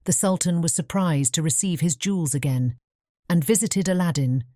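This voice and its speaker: A woman narrating a scripted fairy tale as an audiobook, in a measured storytelling manner. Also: lowish voice, warm tone, no echo, clean studio sound.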